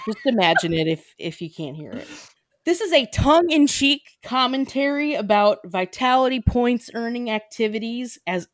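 A woman's voice: mid-range voice, no echo. A woman co-hosting a podcast, chatting casually and giggling, filling her talk with "like". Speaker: podcast co-host. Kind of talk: casual chat.

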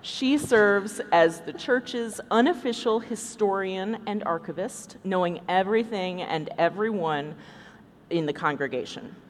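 A woman hosts a comic live show from the stage. Her delivery is slow and highly animated, swinging widely in pitch, and the large hall rings with long reverb. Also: slight background noise. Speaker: podcast host on stage.

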